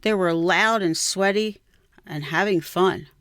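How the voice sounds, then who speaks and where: A woman reading a scripted personal memoir in a measured, reflective way. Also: fairly low pitch, fairly bright tone, no echo, clean studio sound. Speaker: writer reading own piece. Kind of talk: scripted literary memoir narration.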